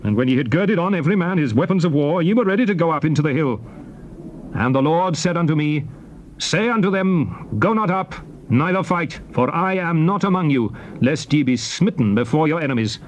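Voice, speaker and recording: A man's voice: fairly high pitch, lively intonation, a little fast, a little hiss or hum, lightly acted. An actor playing a solemn biblical leader recounting and exhorting his people, declaiming archaic scripture theatrically. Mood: grave, reproachful. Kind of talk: dramatized scripture reading, theatrical oratory.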